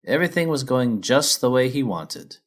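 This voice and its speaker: A man's voice: mid-range voice, animated, moderate pace. A man reading a children's story as its narrator, in a scripted storybook style.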